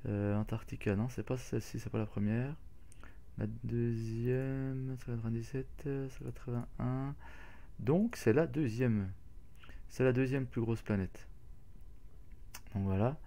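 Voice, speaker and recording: A man's voice: mid-range voice, steady tone, measured pace, pauses often, mellow. A man hosting a casual game walkthrough, talking hesitantly with many fillers and restarts. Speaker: gaming channel host.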